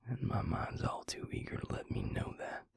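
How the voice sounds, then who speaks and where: A man playing a weary, guilt-ridden vampire in an intimate audio drama, confiding in a husky, halting near-whisper. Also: no echo, lightly acted. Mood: heavy, subdued. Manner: slow, halting, brooding confession. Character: weary, guilt-ridden male vampire and former healer, confiding in his mate. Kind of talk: intimate audio roleplay drama, hushed monologue.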